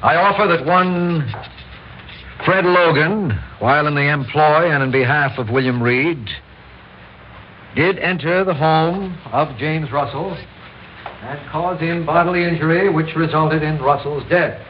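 An actor playing a principled district attorney, speaking formally and earnestly in an old-time radio drama. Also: male voice, a little high, unhurried, lightly acted. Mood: formal, solemn. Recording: dull, noisy old radio recording.

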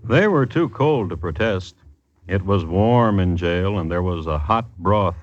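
An actor playing a wry, formal true-crime host, narrating deadpan in 1950s radio style. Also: male voice, deep voice, unhurried. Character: dry, droll male true-crime storyteller hosting the show. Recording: dull old radio recording.

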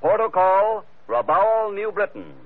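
Tinny, animated vintage radio announcer, a man. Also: high voice. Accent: American. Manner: formal, polished, measured phrasing. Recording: tinny vintage radio broadcast, music behind.

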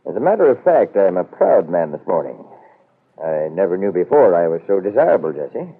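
An actor playing a proud, wily middle-aged businessman in a 1940s radio soap, husky, wry and measured. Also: low voice, sing-song, heavily acted. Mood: smug, amused. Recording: muffled old radio broadcast.

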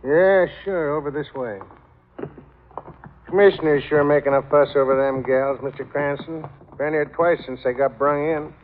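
An actor playing a folksy, chatty old morgue attendant with ungrammatical speech in an old-time radio drama. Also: male voice, a little high, moderate pace, phone-quality sound, close to an everyday voice. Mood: chatty, matter-of-fact. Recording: noisy, phone-thin old radio recording.

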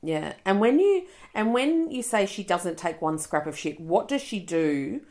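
A woman's voice: mid-range voice, sing-song, unhurried, a little mellow. A middle-aged woman, co-hosting a podcast in blunt, playful chat with plenty of swearing. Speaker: podcast co-host. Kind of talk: casual chat.

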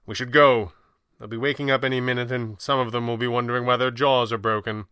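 A man playing a composed, erudite masked crimefighter in an audio drama, speaking in a measured, articulate way with dry wit. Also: medium pitch, level tone, pushed and bright, clean tone, no room sound, close to an everyday voice. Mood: wry. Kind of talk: audio drama character voice in narrated reading.

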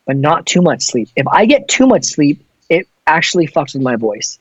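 A man's voice: on the high side, big pitch swings, normal speed, slightly rough, no echo, highs cut off. A man who sings in a touring band, chatting casually in a loose, rambling way with plenty of swearing. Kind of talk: casual chat.

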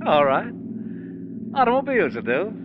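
An actor playing a troubled, nervously polite husband at the wheel, hesitant and courteous, in old radio drama style. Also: male voice, high voice, big pitch swings, normal speed. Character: anguished married man driving, nervously polite and preoccupied. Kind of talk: 1940s radio acting. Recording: muffled old radio recording, car noise.

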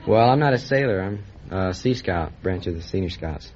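An American male teenager gives short, literal answers as a quiz contestant. His voice is on the low side, with the dull sound and faint hiss of vintage radio. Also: animated, normal speed. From Texas. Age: teens, seventeen. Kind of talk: brief unscripted interview answers. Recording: muffled, slightly noisy vintage radio.

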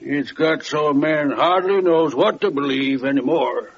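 A man's voice: a little high, a little slow, close to an everyday voice. Weary village carpenter of ancient times, played by a man, formal and short on patience; radio play, hissy dated sound. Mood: solemn, wondering. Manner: solemn, formal archaic diction. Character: weary, impatient male carpenter in biblical times. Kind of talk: old-time radio drama acting, archaic biblical English. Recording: dull vintage radio recording with hiss.

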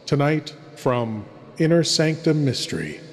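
A man playing a courtly, sinister horror host who delivers grand, ominous introductions with dramatic pauses. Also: a little high, very animated. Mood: solemn, ominous. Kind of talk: theatrical scripted horror introduction. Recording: long reverberant hall.

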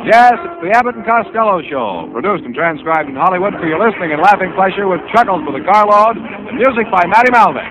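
A man's voice: high voice, expressive, fast, few pauses. A man announcing a 1940s radio show with showy, proclaiming introductions. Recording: dull, very noisy old radio recording.

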